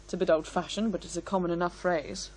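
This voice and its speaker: A woman's voice: lowish voice, steady tone, quick pace, a little mellow, lightly acted. A woman in a radio play acting a composed, bitter daughter who speaks in a firm, articulate and scornful way. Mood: firm, explaining.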